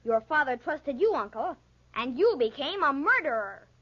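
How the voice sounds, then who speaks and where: A woman's voice: high voice, very animated, moderate pace, a bit bright. A woman voicing a cheeky, calculating young boy in a 1940s radio drama. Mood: sly, taunting. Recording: muffled, dated 1940s radio recording.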